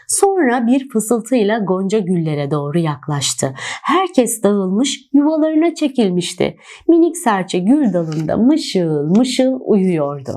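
A woman narrating a children's storybook in an expressive, dramatic storytelling manner. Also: medium pitch.

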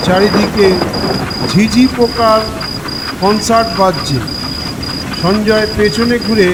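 Slow high-pitched man narrating in heavy reverb. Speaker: storyteller. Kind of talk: scripted ghost story narration. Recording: long reverb, loud night-ambience noise.

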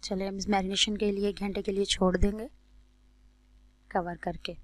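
A woman with a Pakistani accent narrates a recipe tutorial as a cooking host, in a measured, instructional way. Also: medium pitch, level tone, no room sound.

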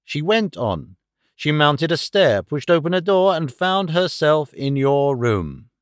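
An assured, incisive gentleman detective , read by a male narrator, calm and exact in a storybook mystery. His fairly high male voice is measured and recorded dry. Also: clean tone, dry recording. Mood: explaining, assured.

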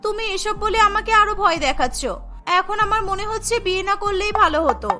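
A woman's voice: very high-pitched, brisk, over loud music. A woman voicing an anxious, pampered young bride-to-be in a cartoon story. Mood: fretful, concerned.

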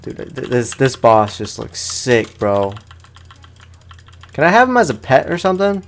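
A man's voice: medium pitch, big pitch swings, a little slow, a bit bright. A young man, sounding tired, gives casual let's play commentary as a gaming host, rambling along in slangy, informal speech.

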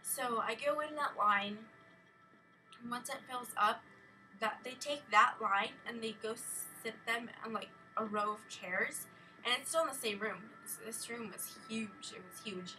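Bright, high-voiced teenage girl vlogging. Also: a little slow, soft background music. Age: teens, a minor. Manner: rambling, animated, heavy use of "like". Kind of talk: casual vlog storytelling. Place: small carpeted bedroom, indoors. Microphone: webcam at desk distance. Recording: slightly distant webcam mic, faint noise.

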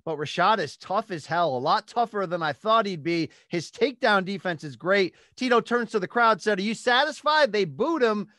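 A man with a high-pitched voice hosts sports talk from a small studio. His delivery is emphatic and animated, and the close microphone gives a very dry sound with little room in it. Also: moderate pace, few pauses, no room sound. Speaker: podcast host. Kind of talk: sports talk recap and interview. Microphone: close studio microphone on boom arm.

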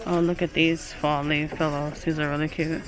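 Woman vlogger, low voice, chatty store walk-through on a phone, music playing behind. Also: flat delivery, normal speed, poor audio. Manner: chatty, keeps calling things cute, reads prices aloud. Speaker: shopping vlogger. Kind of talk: casual shop-with-me narration. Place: inside a large home goods store. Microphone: handheld phone camera.

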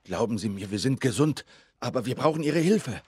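A man's voice: mid-range voice, animated, a little fast, slightly rough. An actor playing a rugged, protective, emotional father in a dramatic video game. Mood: desperate pleading. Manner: emotional, pleading, swings from hushed to crying out. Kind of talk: video game dramatic acting, German dub.

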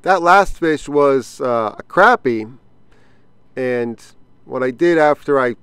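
A man hosting his own fishing vlog, talking casually in a relaxed way with fillers while he casts and reels from a small boat on a pond, recorded on an action camera. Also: medium pitch, slow, clear, resonant tone, dry recording. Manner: relaxed explanatory, thinking aloud with fillers. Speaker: fishing channel host.

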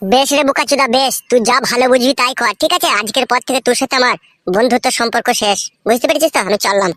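Man doing a squeaky, fast-scolding cartoon buddy. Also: a bit bright, dry recording, studio quality. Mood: fed up. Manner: foul-mouthed, hectoring. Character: scolding, exasperated young male friend. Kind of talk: crude comedy cartoon dialogue.

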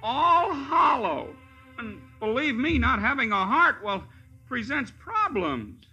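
High, bright, plaintive tin man. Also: sing-song, faint music behind, full character voice. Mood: mournful. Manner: theatrical, drawn-out exclamations. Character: rusted tin woodman, plaintive and excitable. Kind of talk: 1950s radio acting.

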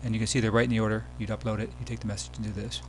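Low-key man narrating, faint hum behind. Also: little pitch movement, rapid-fire. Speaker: software demo presenter. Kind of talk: casual screencast tutorial narration. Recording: background hum and noise.

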